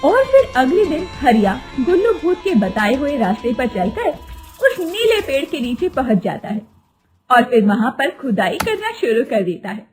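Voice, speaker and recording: High, lively woman narrator. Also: normal speed, soft background music. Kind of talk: scripted storybook narration.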